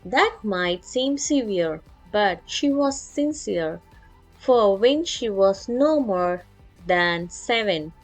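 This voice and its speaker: A woman reading a children's rhyming picture book aloud in an expressive storytelling style. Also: medium pitch, slow pace, rough recording. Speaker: story reader. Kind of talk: animated children's read-aloud. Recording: music playing behind.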